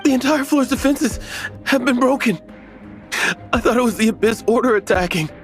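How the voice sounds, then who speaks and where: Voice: medium pitch, normal speed, soft background music, close to an everyday voice. An actor playing a cowardly, panicked enemy underling in a video game, frantic and pleading.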